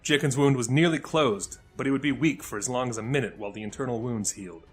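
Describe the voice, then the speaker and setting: A man's voice: mid-range voice, fast talker, husky. Man narrating a fantasy tale over music. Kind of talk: scripted fantasy story narration.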